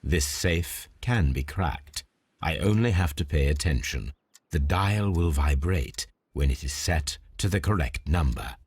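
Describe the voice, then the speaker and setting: An actor playing a composed, analytical detective who muses aloud in a measured, precise manner in a video game. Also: male voice, rumbling low, lively intonation, darkish tone, breathy edge, heavily acted. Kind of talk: video game cutscene acting, musing aloud.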